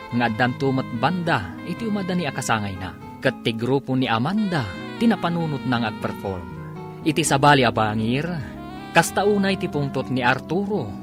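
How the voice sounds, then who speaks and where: A male Ilocano radio drama narrator with a wide, swooping delivery over clearly audible guitar music. He announces in a theatrical, scripted way, stretching out key phrases. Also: mid-range voice. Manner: theatrical, drawn-out title calls.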